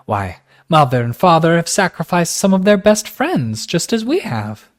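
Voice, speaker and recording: Storybook figure: a man playing a rich young girl, high-voiced, frank and passionate, rushing her words. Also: sing-song. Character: wealthy young woman, impulsive, frank.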